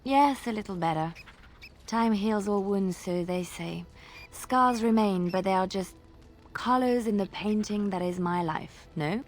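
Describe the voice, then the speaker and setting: An actress playing a devout, gentle young woman, a reflective companion in a fantasy video game. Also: mid-range voice, measured pace, slight background noise, natural voice. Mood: wistful. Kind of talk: video game character acting.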